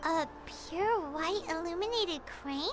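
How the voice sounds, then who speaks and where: A woman voicing a tiny, excitable childlike fairy companion in a video game. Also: very high-pitched, slow pace, heavily acted. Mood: eager. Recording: loud music behind the voice.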